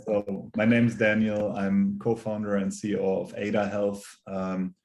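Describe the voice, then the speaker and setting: A middle-aged man from Germany with a German accent, a guest telling his story in a measured, dry way over a video call. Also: fairly low pitch, flat delivery, noisy background. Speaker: guest, company founder. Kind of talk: casual interview chat.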